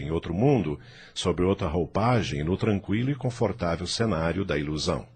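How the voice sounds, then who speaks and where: A man with a Brazilian accent narrating an audio drama from a script. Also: low-pitched.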